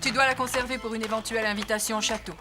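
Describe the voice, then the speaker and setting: Woman as a stern, high-voiced scolding mother. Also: steady tone, racing, music in the background, natural voice. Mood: irritated, stern. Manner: curt, commanding. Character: stern, irritated mother scolding her daughters. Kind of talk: dubbed children's cartoon acting.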